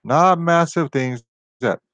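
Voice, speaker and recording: A man's voice: a little high, slow, a bit bright, no room sound. A man hosting his own channel, talking through rambling tangents with exasperated complaints. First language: not Japanese. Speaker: video host. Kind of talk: casual solo commentary.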